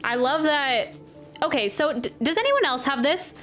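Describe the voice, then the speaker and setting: High-voiced woman reacting to a game. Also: normal speed. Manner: playful, expressive, chatty. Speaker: reaction video host, gamer. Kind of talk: casual gameplay reaction, some reading aloud of dialogue. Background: game music.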